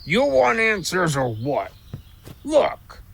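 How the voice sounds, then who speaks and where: Gravelly, pushy big squirrel. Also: medium pitch, wildly swooping pitch, slow, a bit bright, full character voice. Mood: brash. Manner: brash, grumbling, slangy drawl. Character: huge pushy boastful squirrel who likes to scare travelers. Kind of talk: audio drama character acting.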